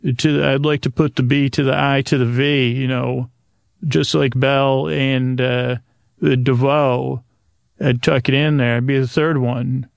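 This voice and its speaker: Male sleep-podcast host, gravelly and halting, wandering through whimsical tangents in a dry, close recording. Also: medium pitch, level tone, no echo. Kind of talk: rambling, improvised bedtime podcast monologue.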